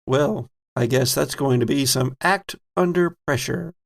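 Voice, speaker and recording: A man's voice: medium pitch, a little slow, vocal fry. A man narrating a tabletop horror game as game master, describing scenes vividly and addressing the players directly.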